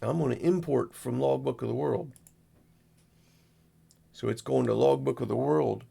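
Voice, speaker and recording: Gravelly, husky man talking through a demo. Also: medium pitch, normal speed, warm tone. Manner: folksy, rambling, narrates each click as he goes. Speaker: amateur radio operator, video host. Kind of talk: casual unscripted screen walkthrough.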